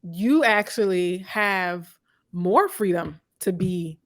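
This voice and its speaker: A woman hosting a live conversation, speaking in a thoughtful, deliberate and reflective way. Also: fairly low pitch, lively intonation. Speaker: host interviewing a guest. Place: live online video call.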